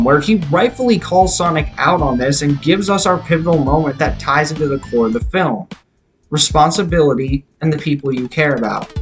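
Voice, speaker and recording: Higher-pitched man narrating a film review. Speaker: video reviewer. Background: rock guitar music.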